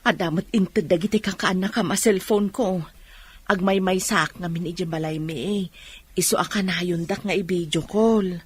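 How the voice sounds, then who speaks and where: A woman's voice: fairly low pitch, fairly even intonation, normal speed, breathy edge. A woman playing a worried mother in a radio drama, speaking in a homely, conversational way. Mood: matter-of-fact. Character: worried mother who lives alone.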